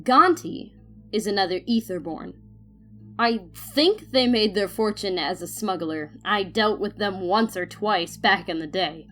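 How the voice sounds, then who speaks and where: A woman voicing a kindly older female renegade guide in an audio drama, measured and knowing. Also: mid-range voice, expressive, fairly bright tone, faint music behind. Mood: knowing, steady.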